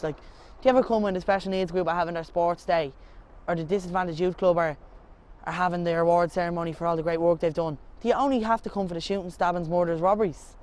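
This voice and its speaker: Fast-talking young Dublin man. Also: a little high, a little mellow, clean tone. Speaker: presenter. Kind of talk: documentary presenting and interview.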